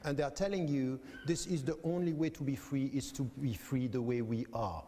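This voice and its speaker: A male academic from Switzerland answers in a measured, explanatory way, repeating phrases to stress his point. His voice is mellow and dark in tone. Long reverb trails behind him in a large hall. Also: medium pitch, a little hiss or hum. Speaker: guest academic. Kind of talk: debate answers.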